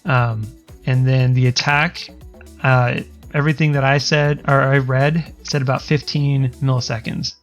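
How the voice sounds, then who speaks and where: A man with a self-described higher-pitched voice talks through settings in a casual, rambling way, often restarting his sentences and filling gaps with ums. His pitch sits in a middle range for a man. Quiet music plays faintly underneath him. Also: flat delivery, unhurried, highs cut off. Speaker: streamer explaining audio settings. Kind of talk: casual screen-recorded tutorial.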